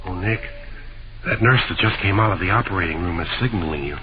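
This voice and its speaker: Detective's male sidekick actor, vintage radio mystery: lively pitch, informal questioning manner, muffled noisy broadcast. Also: brisk, over music, natural voice. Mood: subdued. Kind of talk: old-time radio drama acting.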